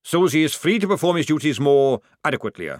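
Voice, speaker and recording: A man voicing a gruff, sardonic old army sergeant in an audiobook, with a barking military manner. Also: on the high side, animated, fast talker, dry recording, clean studio sound, voice pushed up, close to an everyday voice. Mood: mirthless. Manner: barking, sarcastic military speech.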